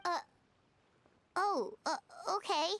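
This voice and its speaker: A woman voicing a small, cheeky, childlike fairy companion in a video game, chirpy and expressive. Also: very high-pitched, takes it slowly, full character voice. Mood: chirpy, curious.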